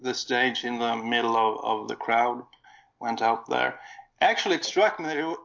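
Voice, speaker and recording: Man, Swedish accent, gravelly creak, casual conversation, halting delivery, muffled lo-fi recording. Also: mid-range voice, fairly bright tone. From Sweden. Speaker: guest recounting a concert he attended.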